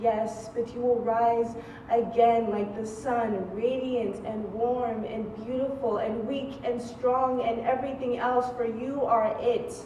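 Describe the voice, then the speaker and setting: A woman's voice: on the high side, level tone, slow pace, warm tone. A young woman performing spoken-word poetry on stage with emphatic, rhythmic repetition. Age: young adult. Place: stage in a large room. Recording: echoey, distant, slightly dull noisy stage recording.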